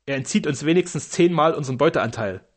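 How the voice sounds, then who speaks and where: A male narrator voicing Juanito, a sly, loyal highway robber, telling his story boastfully and eagerly in a breathy voice. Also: expressive, quick pace.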